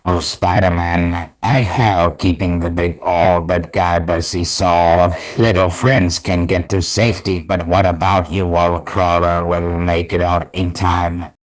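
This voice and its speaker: A man's voice: deep voice, flat delivery, a little slow, few pauses, poor audio. A man voicing a bitter, theatrical supervillain archenemy in a comic dub. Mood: mocking. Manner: sneering, theatrical.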